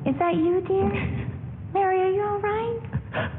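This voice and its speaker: A gentle, motherly older aunt in a 1940s radio play. Her high voice is full of concern, ringing in a hall through a thin broadcast sound. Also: steady tone, measured pace. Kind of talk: 1940s radio drama acting. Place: live stage in a town hall. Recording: tinny, noisy broadcast in reverberant hall.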